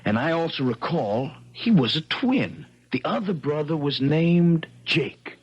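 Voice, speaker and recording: An actor playing a resolute, respectful young American man from a small town, with a slightly husky voice, in a 1970s radio drama. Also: fairly high pitch, expressive, measured pace. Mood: attentive, respectful. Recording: dull vintage radio recording.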